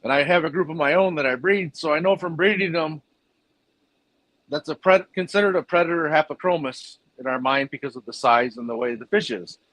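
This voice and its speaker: Guest: man, fairly high voice, dry close mic, plainspoken and rambling. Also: fairly quick, fairly bright tone, dry recording, low-quality recording. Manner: plainspoken, rambling explanations with fillers. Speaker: fish food business owner and breeder. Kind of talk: casual interview answers.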